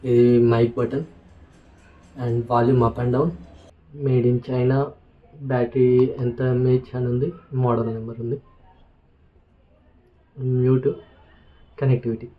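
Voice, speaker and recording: A man with a Telugu accent doing a casual, unscripted product walkthrough in a hesitant, halting way, mixing in English words. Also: medium pitch, flat delivery, dull audio. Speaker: vlogger presenting a product unboxing.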